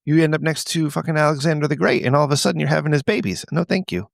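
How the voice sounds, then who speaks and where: A man's voice: fairly high pitch, fairly even intonation, rapid-fire, vocal fry, dry recording, clean studio sound. A man co-hosting a comedy podcast with casual, blunt, crude wisecracks. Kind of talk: casual group banter.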